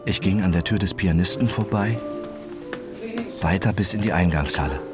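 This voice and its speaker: A man playing a detached, obsessive first-person narrator in a radio drama, speaking in a measured, introspective way. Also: low voice, lightly acted. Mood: detached, absorbed. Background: music behind the voice.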